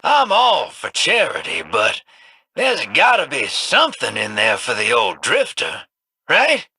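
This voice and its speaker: A man's voice: a little high, huge pitch swings, measured pace, pushed and bright, breathy edge, dry recording, heavily acted. A man voicing a sly, grizzled rogue scavenger for a video game, talking in a drawling, folksy way.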